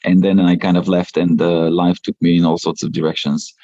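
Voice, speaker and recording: A man's voice: sits low, level tone, brisk, warm tone, dry recording, highs cut off. A man from Romania whose first language is Romanian, talking as a podcast guest with many fillers and restarts. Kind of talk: casual interview talk.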